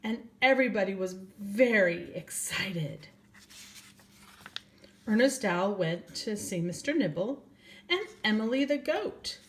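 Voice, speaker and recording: A woman's voice: mid-range voice, animated. An older woman, a grandmother, reading a children's storybook aloud and speaking directly to young children. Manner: slow, addressing young children, asking them questions.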